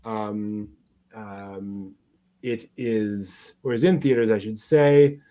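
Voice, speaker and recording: A man's voice: on the low side, fairly even intonation, warm tone. Man casually presenting anime news. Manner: halting delivery, searching through notes aloud. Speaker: co-host of an anime news show.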